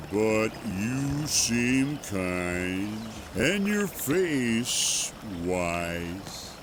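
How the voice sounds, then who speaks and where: A man playing a huge ancient sea turtle lord, menacing and solemn, speaking ponderously in halting broken phrases. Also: on the low side, noisy background.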